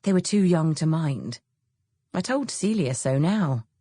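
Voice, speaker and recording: An English woman narrates a novel with expressive, scripted delivery. Her voice sits low and has a husky grain. The studio sound is close and dry. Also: unhurried, dry recording. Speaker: audiobook narrator.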